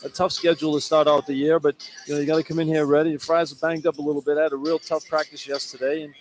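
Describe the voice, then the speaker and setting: A man's voice: fairly high pitch, flat delivery, rapid-fire, faint music behind. A man working as a color analyst, talking conversationally through live sports analysis on the radio. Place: courtside in a sports arena.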